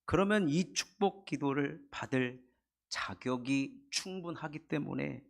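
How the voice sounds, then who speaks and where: A middle-aged man preaching a Sunday sermon in a church, his voice hoarse and husky from a cold, building earnest emphasis. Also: medium pitch. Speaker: pastor. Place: church sanctuary.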